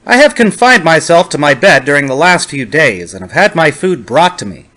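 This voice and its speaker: Lonely, sickly academic narrator for vintage horror , played by a man: formal, precise, brooding, slightly high voice with animated intonation. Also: normal speed, exaggerated voice. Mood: intrigued, irked. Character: lonely, ailing bachelor professor, fussy and brooding narrator. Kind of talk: dramatic reading of a 1930s horror story.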